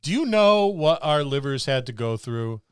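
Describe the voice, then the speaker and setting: A man's voice: medium pitch, big pitch swings, normal speed, clear, resonant tone, no room sound, clean studio sound. A man co-hosting a podcast in casual banter, with a jokey, grumbling wryness. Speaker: podcast co-host. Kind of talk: casual banter among co-hosts.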